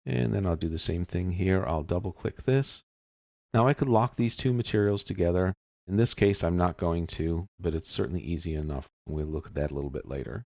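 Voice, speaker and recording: A man narrating a software tutorial as an instructor, explaining things casually and step by step. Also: deep voice, fairly quick, gravelly, no room sound, studio quality. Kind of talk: tutorial narration over screen demonstration.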